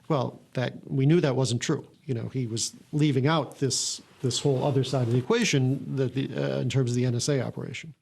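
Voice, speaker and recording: A man's voice: medium pitch, fairly even intonation, fairly quick, vocal fry, few pauses, a little mellow, a little hiss or hum. An American man talking conversationally as an interviewee in a documentary. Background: background music.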